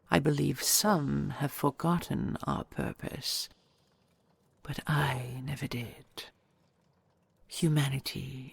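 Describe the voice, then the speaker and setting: A woman voicing an ancient dragon queen in an audio roleplay, tender and regal, speaking in a husky, breathy half-whisper with stately, intimate phrasing. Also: extremely low pitch, no echo, exaggerated voice. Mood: proud, affectionate. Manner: stately, measured, formal and intimate endearments.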